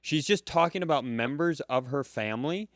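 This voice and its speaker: A man's voice: on the high side, animated, moderate pace, a bit bright, no echo, clean studio sound. A man co-hosting a live comedy podcast, telling a rambling anecdote full of asides. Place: comedy club stage.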